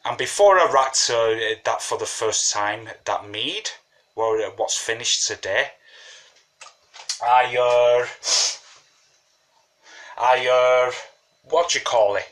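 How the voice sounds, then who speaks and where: A man with a slight cold walks through his recipe at a slow, unhurried pace. His voice has a bright, forward edge, and he keeps up a loose, chatty patter full of asides. He sounds like he is talking at his kitchen table while he works. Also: medium pitch. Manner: chatty, rambling, says "you know what I mean". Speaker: home brewing hobbyist. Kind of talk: casual how-to tutorial.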